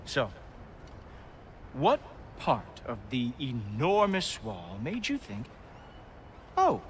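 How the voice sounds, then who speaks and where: A man playing a haughty, menacing god who guards a wall in a video game, speaking with sardonic, condescending deliberateness. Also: medium pitch, huge pitch swings, slight background noise, exaggerated voice. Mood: mocking. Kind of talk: theatrical video game acting.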